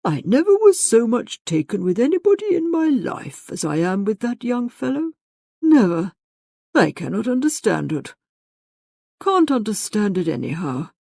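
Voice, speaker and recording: Woman doing an old-fashioned dad in an audiobook, hearty gent, energetic, emphatic, pokes fun at himself, dry audio. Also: fairly low pitch, measured pace, vocal fry, no room sound, exaggerated voice. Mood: energetic, wondering. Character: hearty, energetic old-fashioned gentleman father, self-mocking fossilized Tory.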